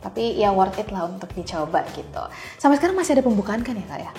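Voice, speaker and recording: A woman's voice: mid-range voice, very animated, fast, dark tone, faint music behind. A young woman hosting a casual, chatty interview, informal and dropping in English phrases. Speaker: podcast host, interviewer.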